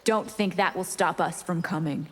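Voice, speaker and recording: A woman's voice: on the low side, normal speed, lightly acted. An actress playing a defiant, predatory vampire in a fighting game, unrepentant, her voice in a reverberant space. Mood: defiant, menacing. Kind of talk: scripted fighting game dialogue.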